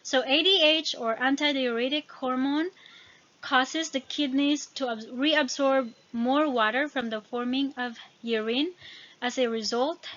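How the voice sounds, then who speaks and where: High-voiced Filipino woman teaching. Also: a bit bright, dull audio. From Philippines. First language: Tagalog and Visayan. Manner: halting over technical words, self-correcting. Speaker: educator. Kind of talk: informal lesson narration, reading study notes.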